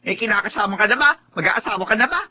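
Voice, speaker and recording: A needy, flighty older Filipina mother in a radio drama. She is bright and sharp, with dramatic, wheedling swoops in pitch. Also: expressive, quick pace. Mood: indignant. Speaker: drama actor.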